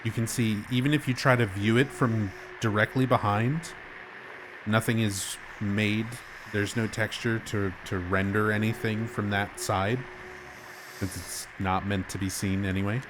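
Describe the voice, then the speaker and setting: A man's voice: lowish voice, measured pace, noisy background. A man streaming a game, explaining things casually while nursing a sore throat. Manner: explanatory, chatty, gets animated when amused.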